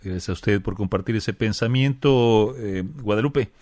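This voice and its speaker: Male radio host, low voice, dry studio sound, measured delivery. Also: darkish tone, no room sound. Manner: measured, frequent "eh" fillers. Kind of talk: radio talk show hosting.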